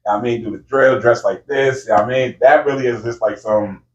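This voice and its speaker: Fast-talking rapper in a roomy lo-fi room. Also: male voice, mid-range voice, fairly even intonation. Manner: laid-back slangy talk, filler-heavy. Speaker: rapper guest. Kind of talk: casual interview chat. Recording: roomy, distant, dull lo-fi sound.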